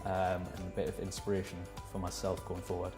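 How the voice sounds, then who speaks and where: A young man from Northumberland, a graduate trainee, answering interview questions earnestly with measured pauses. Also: sits low, monotone. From Northumberland, England. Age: young adult. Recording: roomy sound with music behind.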